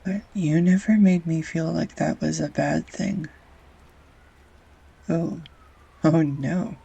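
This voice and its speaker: Girl acting as grumpy sarcastic art student gone shy n lovestruck, creaky voice, slow, romantic audio roleplay. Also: low voice, steady tone, exaggerated voice. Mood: flustered, teasing. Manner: halting, wry, flustered, sighs and soft laughs. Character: grumpy sarcastic young art student, shyly confessing love. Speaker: voice actor.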